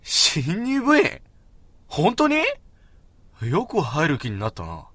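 A man playing a proud, frustrated high school boy in a voice drama, talking in blunt, casual slang. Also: wildly swooping pitch, slow, fairly bright tone, breathy edge, dry recording, exaggerated voice. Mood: surprised. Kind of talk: Japanese voice drama acting.

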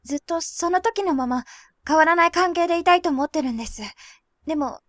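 A woman playing a polite, pensive high school girl in an audio drama, reserved and hesitant. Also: fairly quick, no room sound, studio quality.

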